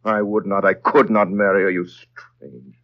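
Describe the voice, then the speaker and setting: An actor playing an imperious, tormented gentleman in love, with intense theatrical delivery in a 1930s radio drama. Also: low voice, little pitch movement, unhurried. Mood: ardent, intense. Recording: muffled vintage 1930s radio recording.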